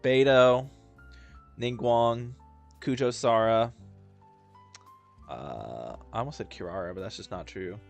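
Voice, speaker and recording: A man's voice: mid-range voice, slow, gravelly, long pauses, soft background music. Rambling young guy commenting on a game. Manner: rambling, chatty, lots of fillers and restarts. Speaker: gaming video host. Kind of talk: casual gameplay commentary.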